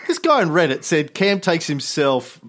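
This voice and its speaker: A man's voice: fairly high pitch, sing-song, moderate pace, fairly bright tone. A man hosting a podcast in casual chat, joking around and swearing freely. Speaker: podcast host.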